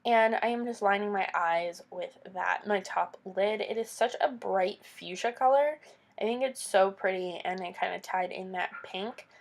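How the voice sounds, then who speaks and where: A woman's voice: medium pitch, normal speed, creaky. A young woman with a stuffy, nasal voice from a cold, chatting through a makeup tutorial voice-over as a beauty vlogger. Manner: chatty, explains each step with casual asides.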